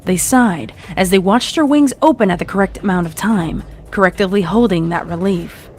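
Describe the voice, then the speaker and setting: A woman's voice: medium pitch, moderate pace, darkish tone. A woman reading a scripted story as its narrator, in a dramatic storytelling style. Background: faint music.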